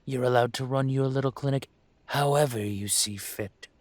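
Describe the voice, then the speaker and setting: A man playing a dragon mafia boss in an audio roleplay, speaking with measured, condescending menace and smug control. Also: medium pitch, no room sound, natural voice. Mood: stern, condescending. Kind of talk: scripted roleplay monologue to the listener.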